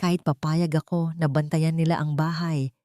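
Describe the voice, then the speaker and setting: A woman's voice: low voice, flat delivery, normal speed, warm tone, no echo, studio quality, lightly acted. A woman voicing a proud, wary mother in a story, firm and guarded in manner.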